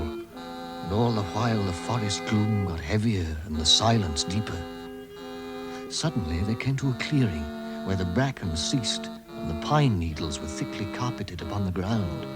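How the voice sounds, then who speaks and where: A man's voice: low voice, natural voice. A man giving scripted, dramatic storytelling narration as the narrator of a radio play.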